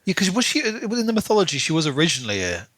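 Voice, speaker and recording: A man's voice: high-pitched, huge pitch swings, racing, a bit bright, no echo. A man co-hosting a podcast, chatting casually and giving his opinions about books, sometimes acting out imagined quotes. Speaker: podcast co-host. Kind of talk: casual book discussion chat.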